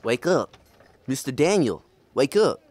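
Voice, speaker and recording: A man's voice: mid-range voice, very animated, a little slow, darkish tone, no echo. An actor playing a simple, hesitant townsman in an audio play, with a Southern US accent. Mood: plain, wary. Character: simple, folksy male townsman bringing the deputy bad news.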